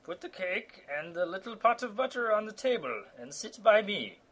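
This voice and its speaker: A man voicing a sly, hungry wolf who fakes a soft, wheedling old granny voice in a storybook reading, husky in tone. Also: high voice, sing-song, moderate pace, voice pushed up, heavily acted, rough recording.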